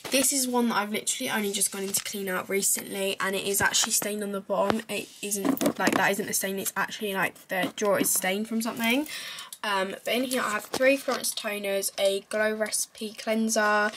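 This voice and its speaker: A young woman talks in a loose, rambling way, full of ums and restarts. Her voice has a stuffy, congested edge from a cold. Clear background noise sits under the recording. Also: mid-range voice, fairly even intonation, normal speed. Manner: chatty, rambling, many fillers and self-corrections. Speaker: beauty vlogger. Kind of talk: casual show-and-tell.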